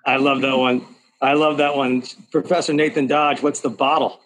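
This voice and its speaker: Relaxed man hosting a panel. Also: medium pitch, normal speed, low-quality recording. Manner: relaxed, many "uh" and "you know" fillers. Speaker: host.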